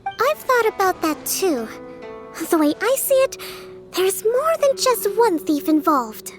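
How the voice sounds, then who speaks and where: A young priestess strategist from a video game. The voice is very high, bookish and composed, laying out thoughts with quiet confidence over faint music. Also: female voice, big pitch swings, slow pace, heavily acted. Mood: analytical. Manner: articulate, reasoning step by step.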